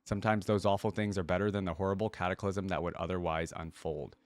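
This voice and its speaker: A man's voice: low voice, steady tone, fast, no room sound. A man reading a fantasy story aloud expressively and adding casual remarks as a reaction host.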